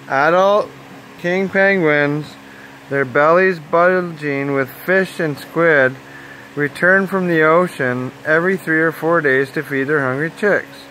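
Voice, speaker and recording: A man narrating a children's nature story aloud in simple, deliberate phrases, as if at bedtime. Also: fairly high pitch, bright, forceful tone, a little hiss or hum, low-quality recording.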